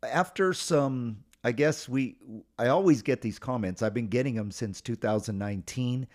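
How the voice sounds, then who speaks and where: A man's voice: mid-range voice, moderate pace. A man hosting a podcast with a cold, talking casually and rambling a bit, with plenty of ums. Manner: relaxed, rambling, many ums. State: has a cold, voice may crack. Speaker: podcast host; pastor and author.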